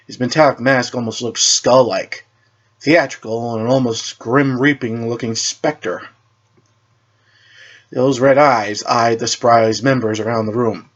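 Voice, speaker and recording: A man narrates a story like an amateur reader. His voice sits at a medium pitch and he stumbles now and then, repeating words. The recording is dull and lo-fi. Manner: halting, stumbles and repeats words while reading. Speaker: narrator reading own superhero story.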